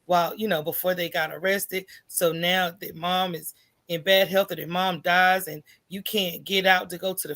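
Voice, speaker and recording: An American woman talking candidly as a podcast guest, acting out remembered dialogue and checking in with her listener as she goes. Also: fairly low pitch. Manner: animated, acts out remembered dialogue, often asks "right?". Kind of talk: candid conversational storytelling.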